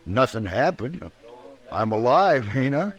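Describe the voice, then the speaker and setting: An actor playing a sly, vengeful cowboy with a folksy, drawling, insinuating delivery, in 1950s radio western style. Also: male voice, medium pitch, sing-song, takes it slowly, dull audio. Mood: feigned ease. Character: vengeful, sly cowboy feigning easygoing indifference.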